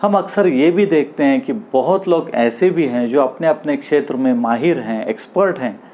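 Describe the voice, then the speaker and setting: A man narrating a scripted moral story as host, in deliberate phrases with pauses between them. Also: medium pitch, a little mellow, slight background noise.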